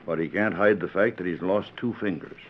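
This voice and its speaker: A man acting as a resolute small-town western sheriff, fairly low, folksy and courteous. He sounds like old radio drama, muffled with a faint hiss. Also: fairly quick, natural voice. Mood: firm, courteous. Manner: courteous frontier plain speech. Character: folksy, determined male Old West sheriff.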